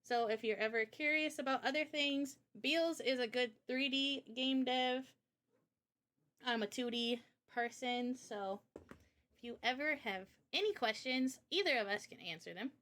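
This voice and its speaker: A woman with a fairly high voice talks casually as a livestreamer. Her delivery is chatty and informal, full of everyday slang. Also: measured pace, fairly bright tone. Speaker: live streamer and indie game developer.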